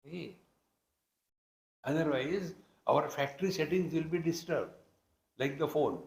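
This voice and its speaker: A man's voice: a little high, a little slow, vocal fry. Indian-accented male swami in an echoey room. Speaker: spiritual teacher, swami. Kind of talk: spiritual discourse expounding scripture. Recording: roomy, echoing hall sound.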